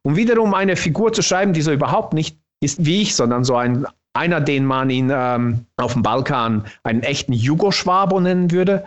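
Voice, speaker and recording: A man with a Bosnian accent, native in Bosnian, talking thoughtfully about his own novel and pausing often to find the right words. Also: fairly high pitch, highs cut off. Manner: thoughtful, searching for words with restarts.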